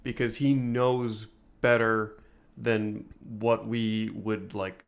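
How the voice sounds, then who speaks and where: A man co-hosting a casual podcast, talking in reflective, halting phrases with wry asides. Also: on the low side, little pitch movement, gravelly, clear, resonant tone. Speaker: podcast co-host.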